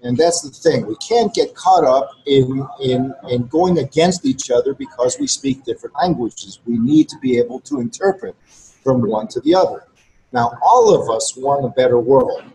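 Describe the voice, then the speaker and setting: A man's voice: medium pitch, very animated, normal speed, vocal fry, dark tone, rough recording. Blunt, earnest Greek-native man lecturing. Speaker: regular co-host. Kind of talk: casual conversation to listeners.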